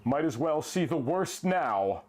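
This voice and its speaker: A man voicing a weary, grim duck farmer with terse, gruff lines in a pulp story reading. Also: on the high side, sing-song, measured pace, darkish tone. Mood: grim, curt.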